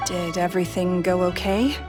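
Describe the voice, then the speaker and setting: A woman's voice: fairly low pitch, fairly even intonation, moderate pace, natural voice. A woman voicing a timid, tender young woman in a video game, hesitant and emotional. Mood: apologetic. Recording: game music behind the voice.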